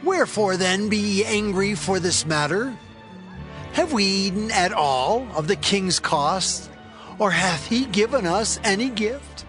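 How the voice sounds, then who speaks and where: Male actor as proud Bible-drama spokesman, defensive, high emphatic voice over clear background music. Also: expressive, a little slow.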